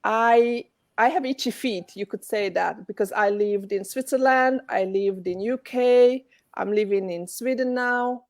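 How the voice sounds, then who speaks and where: A woman's voice: a little high, measured pace. A woman from Hungary with a Hungarian accent, answering interview questions over a video call in a webinar. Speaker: guest speaker.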